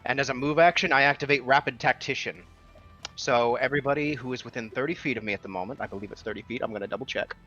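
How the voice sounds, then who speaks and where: A man's voice: medium pitch, quick pace, sharp tone. A man playing a tabletop roleplaying game, casually explaining his moves and the rules. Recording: dull lo-fi mic, background music.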